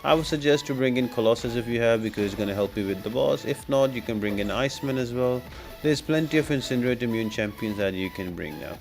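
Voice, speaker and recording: A man's voice: mid-range voice, quick pace, gravelly. A man giving casual, explanatory walkthrough commentary as the host of a gaming guide. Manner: explanatory, instructional. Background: music.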